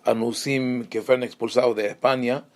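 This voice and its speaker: A man's voice: mid-range voice, fairly even intonation, normal speed. A man from Israel with an Israeli accent, a rabbi teaching an online lesson with many fillers and restarts. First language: Hebrew.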